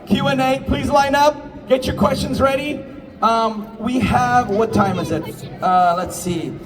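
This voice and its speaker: A man's voice: very high-pitched, a little slow. A man moderating a convention panel, upbeat and directing the crowd. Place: convention hall. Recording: distant mic in a reverberant hall.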